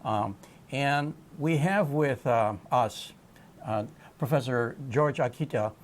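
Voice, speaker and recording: A man hosting a conversational television interview, phrasing his questions in a halting, tentative way. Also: medium pitch. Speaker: television program host.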